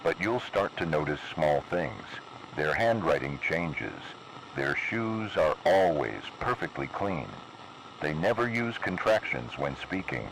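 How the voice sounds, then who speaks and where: A man voicing a cold, menacing emergency broadcast announcer in slow, measured, ominous narration. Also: extremely low pitch, very animated, heavily acted. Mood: official. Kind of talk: scripted analog horror narration. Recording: noisy analog video recording.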